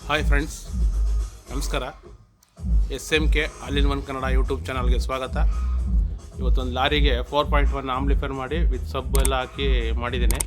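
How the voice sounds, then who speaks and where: A man's voice: medium pitch, flat delivery, moderate pace, pauses often, over music. A man presenting a casual vlog as host and audio installer.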